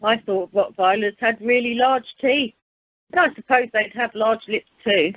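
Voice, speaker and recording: A wry, quick-witted female rep, acted by a woman in an amateur radio comedy. Her voice sits fairly high, with a close, dull telephone-conference sound. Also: dry recording. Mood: wry, gossipy.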